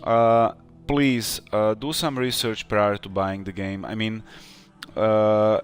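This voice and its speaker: Slow-talking man game developer. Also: on the low side, fairly even intonation. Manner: thoughtful, many fillers. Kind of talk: casual live stream chat.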